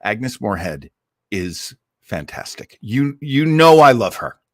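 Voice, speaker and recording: A man hosts in a casual storytelling style, taking his time over each phrase. His pitch swings widely as he stretches and stresses key words for drama. The sound is dry and close. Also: very animated, no room sound. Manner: dramatic storyteller, emphatic, with drawn-out words and repetition for effect. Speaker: host of an old-time radio listening show. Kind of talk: casual live storytelling introduction to a radio classic.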